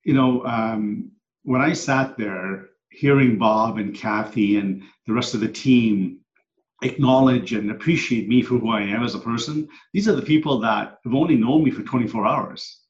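A middle-aged man, guest on a podcast, talks through his past in an earnest, reflective way. His voice sits at an ordinary pitch. It echoes in a roomy space and comes through dull and lo-fi. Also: moderate pace. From Canada, moved there at ten. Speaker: guest, coach and speaker. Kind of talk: storytelling in a podcast interview. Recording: echoey room, dull lo-fi sound.

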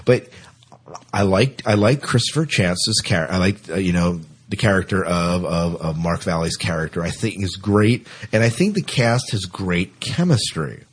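A man hosting a casual podcast, chatting in a halting way and repeating words as he thinks. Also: low voice, husky. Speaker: podcast host; teacher. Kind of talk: casual co-hosted podcast chat.

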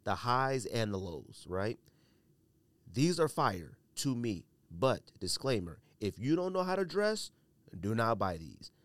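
A man's voice: fairly low pitch, big pitch swings, slow, no echo. A man hosting a casual podcast with blunt, emphatic, opinionated commentary, repeating himself for emphasis while a bit under the weather. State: under the weather, coughing and sneezing. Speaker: podcast host.

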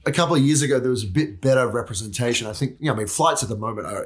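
A man hosting a podcast, asking questions in a relaxed, conversational way during a casual interview. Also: mid-range voice, racing, over a noisy crowd. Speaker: podcast host.

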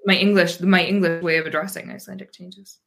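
A woman's voice: fairly low pitch, level tone, quick pace, gravelly, a bit bright. Thoughtful rambling woman in her thirties. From Pennsylvania, USA. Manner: thoughtful, rambling, frequent ums and restarts. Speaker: guest. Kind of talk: casual interview conversation.

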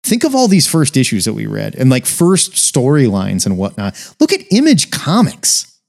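A man's voice: mid-range voice, wildly swooping pitch, moderate pace, creaky, darkish tone. An American man in his forties chatting casually as a podcast co-host, with an emphatic, informal way of talking. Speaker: podcast co-host reviewing comics. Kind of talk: casual chat.

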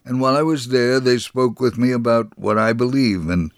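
An actor playing a kindly older Jerusalem man recounting his life in a measured, first-person dramatic reading. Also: medium pitch, no echo, clean studio sound, natural voice. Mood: gentle storytelling.

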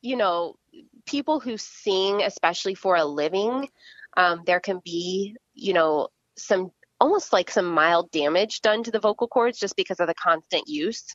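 A woman speech-language pathologist calmly explaining voice care in a casual interview, with frequent fillers. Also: medium pitch, normal speed, no echo, dull audio. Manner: explanatory, steady, many fillers.

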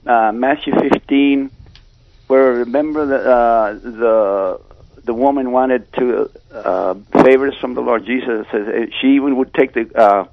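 A male caller with a creaky, gravelly voice at middling pitch offers casual scripture commentary. He rambles and halts often as he goes. The phone sound is dull and dry. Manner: hesitant, halting delivery with repeated pauses. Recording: dull phone line on radio.

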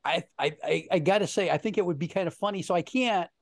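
Male host, dry close mic, halting jokey delivery. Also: high voice, dry recording. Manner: joking, halting with restarts. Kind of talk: casual chat.

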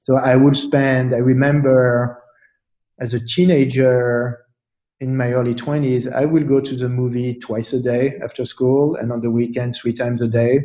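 A man from France, speaking as an interview guest in a thoughtful, halting way with many fillers and restarts. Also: mid-range voice, flat delivery, dark tone, reverberant, lo-fi sound. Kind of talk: casual interview chat.

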